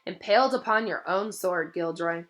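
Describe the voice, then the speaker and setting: A woman voicing a kindly old male wizard headmaster in a storybook, speaking softly, thoughtfully and with measure. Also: on the low side, moderate pace, natural voice. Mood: gentle. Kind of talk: audiobook-style character reading. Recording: music behind the voice.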